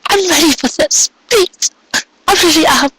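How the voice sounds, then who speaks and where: A man voicing an earnest female cartoon pony from a story, pleading and breathy with longing. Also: very high voice, moderate pace, sharp tone, slight background noise, voice pushed up. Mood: desperate begging.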